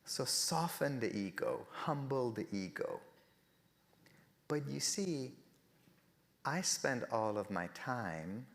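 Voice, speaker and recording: Middle-aged man, Persian first language, low voice, earnest storytelling talk, soft then emphatic. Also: long reverb tail. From Iran. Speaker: speaker at a faith festival. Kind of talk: reflective spoken talk.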